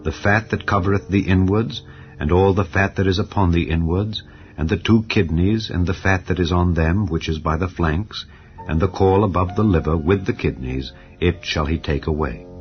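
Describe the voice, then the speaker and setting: A man reading scripture aloud in a slow, measured, solemn way, as narrator of a dramatized recording. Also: low voice, highs cut off. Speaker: Bible narrator. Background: soft ambient music.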